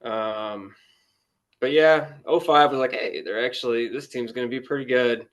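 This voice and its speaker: A man's voice: medium pitch, normal speed. A man of about thirty-one chatting casually as a guest sports fan, with a rueful, self-deprecating humour. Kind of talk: casual chat.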